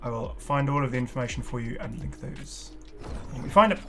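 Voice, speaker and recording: A man's voice: medium pitch, lively intonation, brisk, pushed and bright, faint music behind. A man hosting a gaming channel, giving casual, unscripted commentary in a chatty, enthusiastic way and prone to exclaiming. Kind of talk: casual unscripted reaction commentary on game cosmetics.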